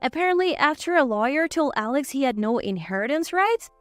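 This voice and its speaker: A woman with a high voice narrates a scripted first-person drama. The recording is close and dry, with faint music running underneath. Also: expressive, brisk, no room sound. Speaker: story narrator. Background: faint background music.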